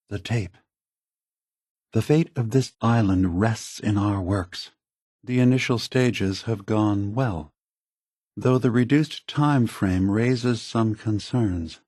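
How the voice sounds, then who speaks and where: A weary android elder statesman from a video game. The voice is low and husky, recorded very dry. He speaks slowly and thoughtfully, with a gentle, deliberate calm. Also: no room sound. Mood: grave, scheming. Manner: measured, deliberate, gentle, reflective. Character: calm, weary, philosophical male android leader.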